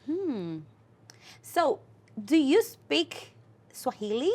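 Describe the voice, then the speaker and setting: A woman hosting an interview, asking questions in simple, sometimes halting phrasing. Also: sits high, big pitch swings, a little mellow. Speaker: host.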